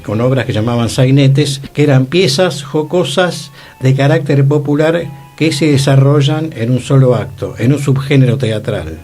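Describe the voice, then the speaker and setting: A man with a Rioplatense Argentine accent hosts an informative radio talk in a mid-pitched voice. His wording is scholarly and didactic, and music plays behind him. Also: moderate pace, few pauses, darkish tone. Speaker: radio show host.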